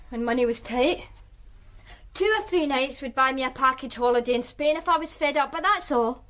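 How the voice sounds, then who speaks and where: A woman's voice: high-pitched, fairly bright tone. An actress playing a guarded, streetwise woman from Glasgow with a Glaswegian accent, talking in a dry, sardonic way in a radio drama. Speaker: witness, flatmate of the victim.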